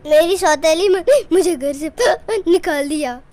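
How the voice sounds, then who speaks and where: A woman as a very high-pitched timid little boy. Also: unhurried, warm tone, no echo. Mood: sad. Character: timid, innocent mistreated village boy. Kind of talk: Hindi cartoon story voice acting.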